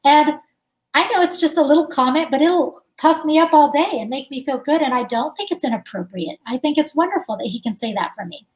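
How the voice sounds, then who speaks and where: A chatty woman with a high voice, speaking as an interview guest. She talks casually, laughs easily and keeps saying "like". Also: expressive, a little fast, poor audio. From United States. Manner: chatty, laughs often, says "like" a lot. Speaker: guest musician.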